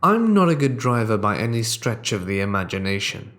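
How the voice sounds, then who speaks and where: A man reading true horror stories aloud as the host, in a measured, deliberate storytelling manner that drops quieter at tense moments. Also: fairly low pitch, big pitch swings. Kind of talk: scripted narration of reader-submitted true horror stories.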